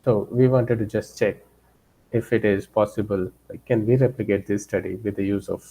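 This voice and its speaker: A man presenting scientific results as a lecturer, haltingly, often repeating and rephrasing his phrases. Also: lowish voice, steady tone, warm tone, slightly rough. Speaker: researcher giving a guest lecture.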